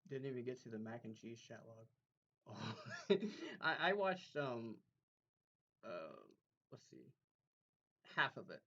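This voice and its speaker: A man's voice: mid-range voice, sing-song, a little slow, pauses often, sharp tone. Man chatting casually on stream, dull audio. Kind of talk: casual livestream chat.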